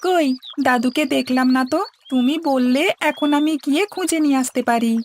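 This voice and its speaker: Woman acting a very high-voiced young village boy. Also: a little fast, slight background noise, close to an everyday voice. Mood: cheerful, helpful. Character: helpful, chirpy young village grandson back from playing. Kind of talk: cartoon dialogue acting.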